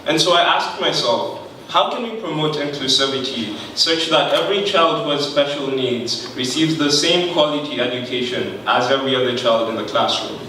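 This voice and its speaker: A young Kenyan man speaks at a podium in a big, very echoey hall, heard from a distance. His voice is bright and ringing, and he keeps an earnest, measured pace, with some "um"s along the way. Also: mid-range voice, slight background noise. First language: not English. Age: young adult. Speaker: student pitching an innovation. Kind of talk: prepared pitch speech. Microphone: podium microphone, recorded from far off.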